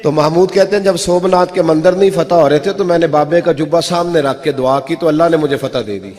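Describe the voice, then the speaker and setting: A man's voice: a little high, fairly quick, darkish tone. A man preaching in a storytelling sermon style, recorded in a large reverberant hall.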